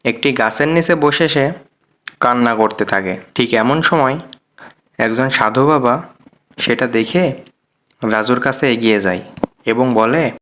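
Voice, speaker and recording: A man's voice: mid-range voice, a little slow, long pauses, a bit bright. A man narrating a scripted fairy tale as storyteller and host.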